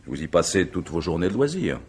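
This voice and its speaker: An actor playing a courteous, methodical examining magistrate in a radio mystery drama, speaking in formal, measured, legalistic phrases. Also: male voice, low voice, sing-song, breathy edge, heavily acted. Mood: probing, methodical.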